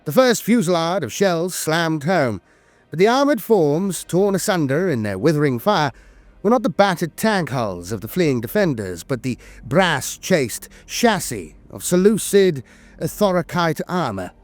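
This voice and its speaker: A man's voice: on the high side, sing-song, measured pace, no echo. A man reading scripted war lore as a narrator, in a formal, dramatic storytelling manner with the occasional hesitation.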